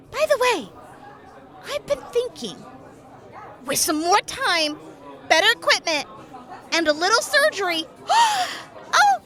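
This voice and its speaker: An eager young vault medic gushes in a very high, swooping voice, as a woman plays her. She takes her time between bursts of excitement and teasing jabs, in a fan audio drama. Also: heavily acted.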